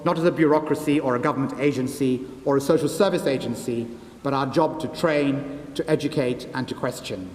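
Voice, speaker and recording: A man whose first language is Gujarati, a senior academic delivering a formal, scripted graduation address in measured, oratorical phrases in a large hall. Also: fairly high pitch. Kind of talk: formal ceremonial speech, scripted. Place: large ceremonial university hall. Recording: echoey large hall, long reverb.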